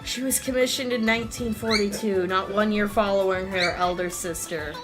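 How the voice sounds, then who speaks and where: A woman's voice: mid-range voice, fairly even intonation, normal speed, music in the background, close to an everyday voice. A woman voicing a pompous, boastful male company president obsessed with battleships in an anime game, lecturing formally. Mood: proud, boastful. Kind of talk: live dub of anime game cutscene.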